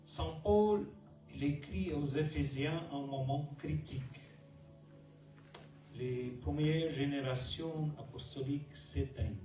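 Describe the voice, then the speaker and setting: Brazilian-accented male pastor, unhurried sermon delivery, picked up from far off in an echoey hall. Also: fairly high pitch, dark tone, faint music behind. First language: Portuguese. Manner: slow, measured delivery with pauses between short phrases.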